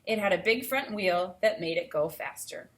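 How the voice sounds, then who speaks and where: A woman with a mid-range voice speaks as a teacher leading a read-aloud. She shifts between reading informational text and thinking out loud, explaining things step by step for learners. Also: medium pitch, animated, moderate pace.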